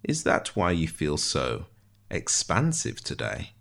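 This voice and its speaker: Guy putting on a witty dungeon prisoner, dry and precise, florid talk, low pitched storybook character. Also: sing-song, normal speed, gravelly, a bit bright, exaggerated voice. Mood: sly, jovial. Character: wry, precise, theatrical male prisoner in a dungeon.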